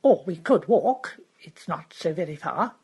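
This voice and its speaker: A woman's voice: fairly low pitch, lively intonation, unhurried, darkish tone, breathy edge, exaggerated voice. A woman voicing a prim, pious older woman who speaks in a preachy, disapproving way.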